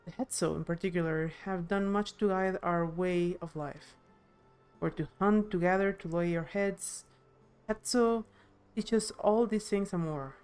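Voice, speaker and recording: A woman voicing a welcoming, nature-revering village chief in a video game, with formal, measured delivery. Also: lowish voice, fairly even intonation, darkish tone, clean tone, soft background music, close to an everyday voice. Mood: reverent. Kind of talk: game character voice-over.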